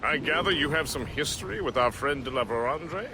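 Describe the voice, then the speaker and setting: An actor playing a courteous, wry first mate in a video game, with a formal and genteel way of speaking. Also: male voice, fairly high pitch, lively intonation, fairly quick. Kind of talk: video game cutscene acting. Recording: noisy game audio with ship ambience.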